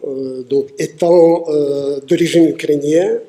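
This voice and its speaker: A man gives halting answers in a poet's interview, full of pauses and filler sounds. His voice is slightly raised in pitch, yet very dark and mellow in tone. He speaks at a very slow, deliberate pace. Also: steady tone. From Moldova. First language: Russian. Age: 60s. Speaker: guest poet.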